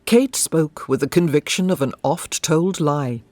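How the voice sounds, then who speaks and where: A woman's voice: deep voice, a little slow, darkish tone, no room sound. A woman reading scripted literary narration as the narrator of an audio drama.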